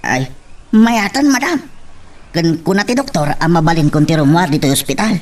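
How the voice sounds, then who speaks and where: A woman's voice: deep voice, lively intonation, moderate pace. A woman with an Ilocano Filipino accent voicing a deferential, dutiful bodyguard in a radio drama. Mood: respectful. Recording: slightly distant microphone.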